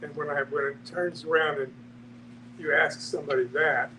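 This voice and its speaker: A man with a slightly high voice talks in an informal group discussion, some distance from the microphone over a clear hum. He is halting, often reworks his phrases and voices questions as if asking them. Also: steady tone. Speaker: discussion participant. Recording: distant mic with noisy hum.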